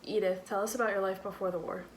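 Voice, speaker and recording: A woman acting as interviewer in a school role-play project, asking clear, scripted questions. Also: medium pitch, level tone, fast talker. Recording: busy crowd noise behind.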